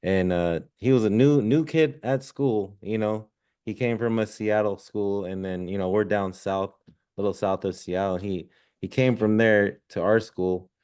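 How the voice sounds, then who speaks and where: A man's voice: lowish voice, measured pace, a little mellow, clear, resonant tone. A middle-aged man who was born in Zambia and raised in Washington state, telling stories in a laid-back, slangy way. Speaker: guest musician and restaurant owner. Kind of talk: casual storytelling.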